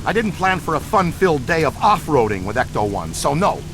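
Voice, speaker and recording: Keen ghost hunter, high voice. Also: male voice, big pitch swings, fairly quick, background noise. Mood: musing, low-key. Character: eager, upbeat tinkerer ghost hunter, team leader type. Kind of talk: video game dialogue.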